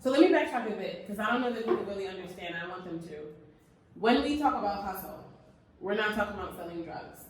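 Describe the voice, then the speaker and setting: A woman's voice: mid-range voice, expressive, fast talker. A woman hosting an interview, retelling her guest's story back to him and asking probing follow-up questions. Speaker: interview host. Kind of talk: conversational interview. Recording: echoey room, mic at a distance, faint noise.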